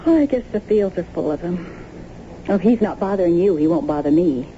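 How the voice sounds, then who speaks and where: Actress as a lively, friendly young wife. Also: female voice, fairly low pitch, a little fast, lightly acted. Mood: sleepy, chatty. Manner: friendly, chatty, easygoing. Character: cheerful, polite, curious young wife. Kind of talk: naturalistic radio drama acting. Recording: muffled, noisy old radio broadcast.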